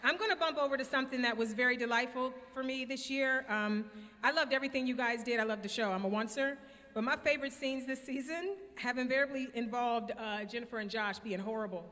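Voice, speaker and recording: Woman moderator, high-pitched and playful, echoing over a big noisy hall. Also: quick pace, fairly bright tone. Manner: playful, gushing, informal. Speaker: panel moderator. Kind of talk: lively fan convention panel hosting. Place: large convention hall stage. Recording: echoey convention hall PA, noisy.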